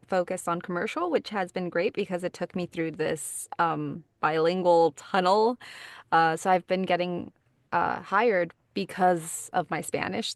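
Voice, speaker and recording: A woman's voice: fairly low pitch, no echo. A woman who works as a voice actor, talking casually on a panel. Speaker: bilingual voice actor. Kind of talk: casual chat.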